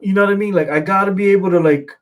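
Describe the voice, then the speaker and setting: A man's voice: high voice, very fast. A man co-hosting a casual art livestream, rambling and leaning on "you know what I mean". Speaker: co-host and comic artist.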